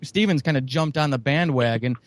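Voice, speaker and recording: A man's voice: mid-range voice, fairly quick. A middle-aged man on a podcast, talking casually about his comedy audio drama, with many restarts and swearing. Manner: rambling with restarts, profane.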